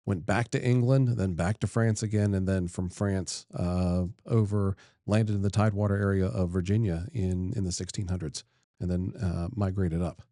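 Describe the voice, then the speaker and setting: A man's voice: low-pitched, steady tone, mellow, no room sound, studio quality. A middle-aged man from Delaware, a state senator, chatting as a guest in a casual interview and telling stories in an easygoing, folksy way. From Delaware, USA. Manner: easygoing, folksy, unhurried storytelling.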